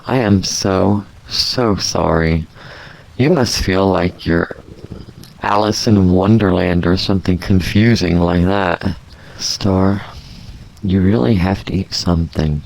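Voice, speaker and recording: A man's voice: deep voice, slow pace, vocal fry, darkish tone, heavily acted, rough recording. A man playing an emotional, flamboyant, doting caregiver in an audio drama, tender and coaxing. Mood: tender, worried.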